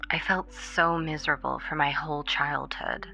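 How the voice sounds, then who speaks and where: Woman reading as low, creaky confessional young singer. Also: steady tone, normal speed, pushed and bright, faint music behind, full character voice. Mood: sad, confessional. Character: miserable young pop singer quoted in interview.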